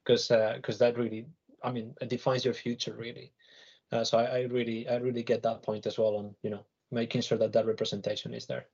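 Man, rough husky mid-pitched voice, earnest conversational panel talk, repeated words, dull lo-fi audio. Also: flat delivery, brisk, a little mellow. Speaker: panel guest, tech director. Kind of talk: panel discussion, conversational opinion.

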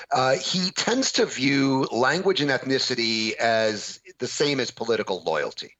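A man's voice: mid-range voice, pushed and bright, highs cut off. A man hosting a panel discussion, asking questions and giving his view in a conversational way. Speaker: host.

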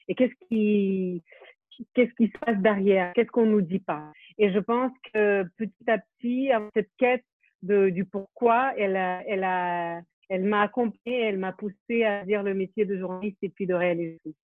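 A woman in her forties from Ukraine speaks over a thin, phone-like line with a dry, close sound. She talks thoughtfully as an interview guest. She often pauses on fillers and restarts her sentences. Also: mid-range voice, no echo. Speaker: film director, interview guest. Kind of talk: casual interview over a video call. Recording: tinny phone-like call audio, dry.

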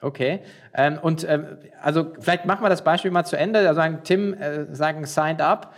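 A man hosting a business podcast, his voice sitting a touch high. He talks in a loose, easygoing way, full of fillers and restarts. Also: moderate pace. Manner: relaxed, many fillers and restarts. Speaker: podcast host. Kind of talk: casual interview.

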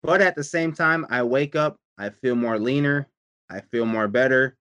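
A man's voice: medium pitch, moderate pace, sharp tone, clear, resonant tone, no room sound, highs cut off. A man chatting casually about his diet and workouts, rambling and profane, often repeating himself. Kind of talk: casual chat.

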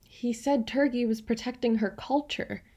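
A woman's voice: on the high side, steady tone, normal speed, mellow, lightly acted. A woman acting in a radio play as a defensive, stubborn woman, skeptical and clipped in manner. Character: defensive, stubborn woman of Turkish descent in denial.

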